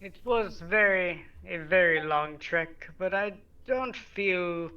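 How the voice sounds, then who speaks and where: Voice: mid-range voice, slow pace, pushed and bright, smooth, dull audio. A player voicing a formal, reserved traveler who politely turns down help in a tabletop game.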